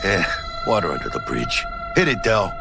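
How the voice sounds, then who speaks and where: Brash street merc, animated. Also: male voice, deep voice, normal speed, creaky, very noisy, heavily acted. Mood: excited, joking. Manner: slangy, swearing, cocky. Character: brash, excitable young street mercenary with big dreams. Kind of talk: video game acting.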